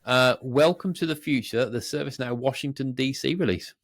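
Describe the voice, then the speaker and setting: A man's voice: mid-range voice, brisk. A British man co-hosting a podcast in casual, unscripted tech chat, rambling, jokey and easily sidetracked. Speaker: podcast co-host.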